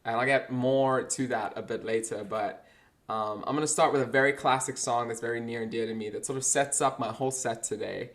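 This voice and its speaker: A young man with a South African accent, a guest performer chatting casually between songs, relaxed and full of fillers. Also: mid-range voice, fairly quick. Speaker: guest performer, singer-guitarist.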